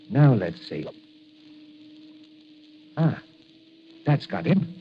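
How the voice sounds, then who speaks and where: An actor playing a kindly, worried apothecary and inventor who mutters to himself, in 1940s radio style on a dull, hissy old recording. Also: male voice, on the low side. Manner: musing, deliberate, muttering.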